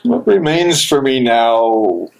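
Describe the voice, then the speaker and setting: A man playing an anguished, brooding imprisoned theologian in a dramatic stage reading, speaking an inner monologue in halting, broken phrases. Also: mid-range voice, big pitch swings, vocal fry, heavily acted. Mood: mournful, searching.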